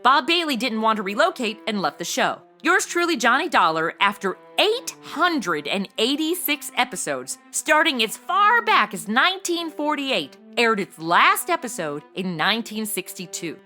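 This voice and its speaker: A woman's voice: fairly high pitch, sing-song, normal speed, few pauses, pushed and bright, faint music behind. A woman podcast host delivering scripted informative narration.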